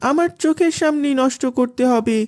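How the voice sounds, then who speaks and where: A woman's voice: sits high, brisk, a little mellow, lightly acted. A woman voicing a loyal young servant boy in a cartoon, speaking firmly and defiantly. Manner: firm, defiant. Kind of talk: animated cartoon dubbing.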